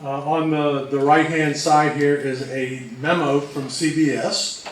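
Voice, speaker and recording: A man co-presenting a measured, informational talk. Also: on the high side, steady tone. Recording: very echoey, distant mic, faint noise.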